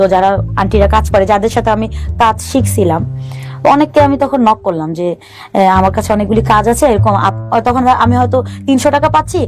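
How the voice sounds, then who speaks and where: A woman, telling her life story as a talk show guest in an earnest, halting way, often restarting her sentences and mixing in English words. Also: on the high side, a little mellow.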